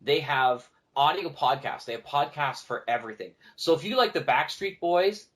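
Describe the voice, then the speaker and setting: A man talking casually as a podcast guest, a teacher who tells stories in an animated way and acts out what people say. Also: on the high side, normal speed. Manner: animated storyteller, acting out quoted remarks. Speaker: podcast guest, teacher and audio drama producer. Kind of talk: casual conversational interview talk.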